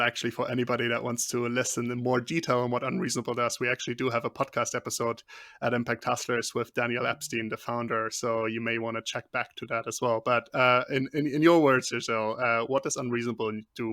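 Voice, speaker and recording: A man's voice: mid-range voice, little pitch movement, brisk, bright, forceful tone, no room sound, clean studio sound. A man hosting a podcast, asking questions in a friendly, conversational way with some fillers. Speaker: podcast host.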